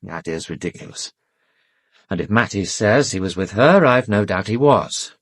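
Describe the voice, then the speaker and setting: An actor playing an educated, defensive English gentleman and weary secret lover in a British radio drama, speaking politely and guardedly with wry self-deprecation. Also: lowish voice, expressive, moderate pace, no echo, studio quality.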